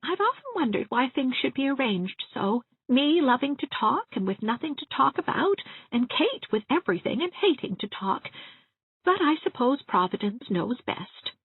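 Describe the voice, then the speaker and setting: A gentle old widow aunt, acted by a woman reader, husky and very lilting in pitch. She rambles along confidingly and genteelly, a timid audiobook character in a thin, roomy recording. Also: big pitch swings, normal speed, narrow, tinny audio, heavily acted. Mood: chatty, earnest. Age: 60s. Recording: roomy, thin band-limited sound.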